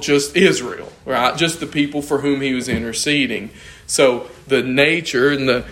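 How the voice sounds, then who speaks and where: Hoarse man teaching a church class. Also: medium pitch. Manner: conversational, thinking aloud, asks the class questions. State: losing his voice. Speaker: Bible study teacher.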